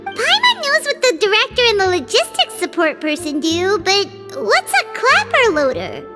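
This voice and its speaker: A woman voicing a tiny, eager, chatty childlike fairy companion in a video game, squeaky and speaking of herself in the third person. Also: wildly swooping pitch, normal speed, heavily acted. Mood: puzzled. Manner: refers to self in third person. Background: game music.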